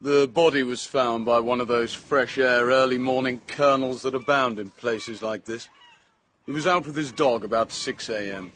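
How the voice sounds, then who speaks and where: An actor playing a brisk, condescending modern police inspector with a clipped, self-satisfied British voice, in a radio drama. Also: male voice, mid-range voice, fairly bright tone, slight background noise, natural voice.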